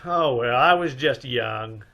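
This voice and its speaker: A man's voice: medium pitch, slow pace, vocal fry, sharp tone, dull audio, heavily acted. A man playing a suave, affectionate detective husband in an old-time radio play, soothing and sweet-talking. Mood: tender. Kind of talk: old-time radio comedy-mystery acting.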